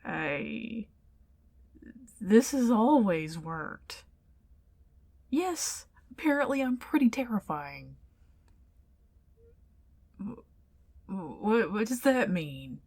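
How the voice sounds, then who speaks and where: A woman's voice: mid-range voice, big pitch swings, extremely slow, long pauses, a little mellow, full character voice. A woman playing an indignant, flustered tsundere ghost in an ASMR roleplay, stammering and defensive as she protests.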